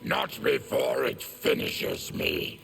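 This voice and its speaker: A monstrous video game warrior, embittered and sick. The voice is deeply gravelly and drags slowly, swinging widely in pitch. It sounds grim and weighty. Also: male voice, huge pitch swings, fairly bright tone, faint music behind, full character voice. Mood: bitter. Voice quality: gravelly growl. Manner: slow, grim, weighty delivery. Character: embittered diseased monstrous male warrior, former soldier and merchant. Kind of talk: video game character dialogue.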